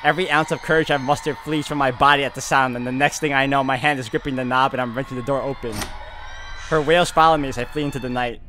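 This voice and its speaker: A man's voice: medium pitch, steady tone, fast talker, bright, forceful tone, a little hiss or hum. A man hosting a gaming video, reading a visual novel aloud in a theatrical, suspenseful way. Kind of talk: dramatic read-aloud of visual novel game with player commentary. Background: game music.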